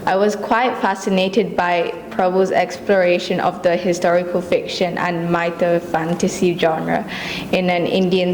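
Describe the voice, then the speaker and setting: A woman reading prepared messages aloud at a launch event, in a measured, formal way. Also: on the low side, darkish tone, reverberant, a little hiss or hum, low-quality recording.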